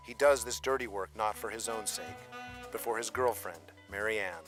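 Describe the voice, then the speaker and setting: A man's voice: fairly low pitch, moderate pace, over music. A man delivering dramatic scripted narration for a radio drama.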